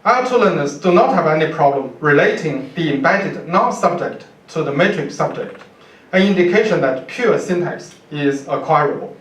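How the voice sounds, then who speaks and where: A man's voice: fairly high pitch, expressive, a bit bright. Distant, echoey Chinese-accented male lecturer. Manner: halting, deliberate, with repeated words. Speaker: university professor giving a lecture. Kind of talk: academic lecture read from notes. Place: indoor university lecture room, speaker at a podium. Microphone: far camera microphone. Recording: roomy, distant lecture hall pickup.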